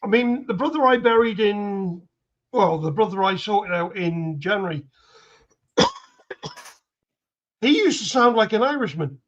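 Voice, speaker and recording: Older man, dry and blunt, high-pitched voice, dull lo-fi line. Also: lively intonation, slow pace, pauses often. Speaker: panel guest. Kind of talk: casual panel chat.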